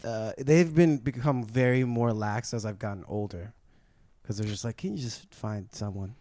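A man's voice: lowish voice, normal speed, dark tone, no room sound. A man who is a guest on a podcast, chatting casually and laughing often. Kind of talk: casual chat.

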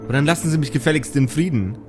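A man's voice: on the high side, fast, music in the background, natural voice. A man voicing an exasperated, put-upon fishmob woman who scolds and grudgingly helps in an improvised audio play. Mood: annoyed, resigned.

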